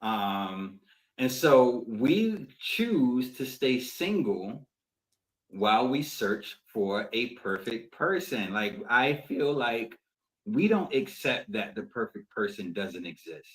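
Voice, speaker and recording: A man co-hosting a podcast in casual conversation, thinking aloud in a reflective, deliberate way. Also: mid-range voice, big pitch swings, low-quality recording. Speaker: podcast co-host.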